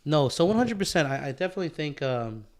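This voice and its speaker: A man's voice: a little high, sing-song, fast, slight background noise. A man with an American accent chatting casually as a podcast co-host, rambling and repeating himself for emphasis. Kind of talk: casual conversational chat.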